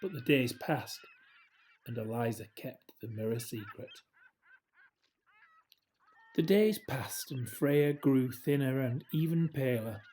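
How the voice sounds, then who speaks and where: A man reading a children's story aloud in a gentle, expressive way, with hushed dramatic drops. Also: mid-range voice, slow pace, pauses often, a little hiss or hum. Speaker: storybook reader. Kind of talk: scripted children's story narration, read aloud.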